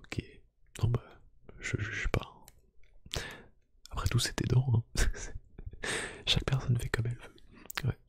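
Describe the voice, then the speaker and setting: A man doing a soft-spoken ASMR roleplay, gently whispering one-to-one to the listener in a hushed, breathy voice. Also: mid-range voice, monotone, takes it slowly, pauses often, no room sound. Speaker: ASMR YouTube creator. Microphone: close microphone.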